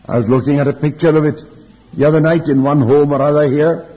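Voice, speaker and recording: Elderly preacher, muffled in a reverberant hall. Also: male voice, on the high side, fairly even intonation, husky. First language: Welsh. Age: older, elderly. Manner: deliberate, reflective, with rhetorical questions and repeated phrases. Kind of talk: Bible teaching sermon.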